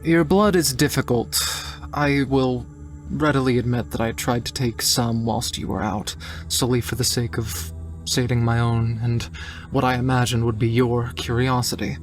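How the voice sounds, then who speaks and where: A man voicing an earnest, guarded researcher woman in a tabletop roleplay, speaking in formal, deliberate, wordy phrases. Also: medium pitch, fairly even intonation, lightly acted. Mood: clinical, candid. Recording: music playing behind the voice. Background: music.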